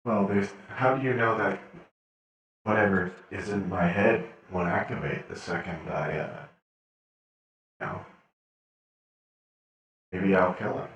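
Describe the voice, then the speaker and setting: A man's voice: deep voice, slow pace, pauses often, fairly bright tone, lo-fi sound. A man playing a sullen, vengeful sci-fi prisoner in an improvised game roleplay, speaking in a blunt, understated way. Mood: wary. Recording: very echoey, distant from the mic.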